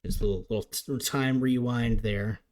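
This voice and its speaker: A man giving chatty, upbeat live commentary on a game as league commentator, his voice getting a little raspy. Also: medium pitch, steady tone, normal speed, darkish tone, slight background noise. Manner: chatty, upbeat play-by-play with frequent restarts.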